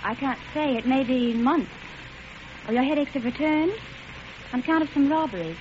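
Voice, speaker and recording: An actress playing a courteous, businesslike doctor's secretary in a 1940s radio drama. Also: female voice, sits high, animated, moderate pace, a little mellow. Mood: polite, businesslike. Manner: polite, businesslike phone manner. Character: prim, courteous female doctor's secretary or nurse. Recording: dull, sizzling, noisy old radio recording.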